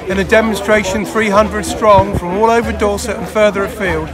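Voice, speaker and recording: An older man speaks close to the camera at an outdoor rally, explaining earnestly and steadily. His voice sits fairly high. A crowd and clear music carry on behind him. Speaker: event organiser. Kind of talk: on-camera interview. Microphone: close camera mic.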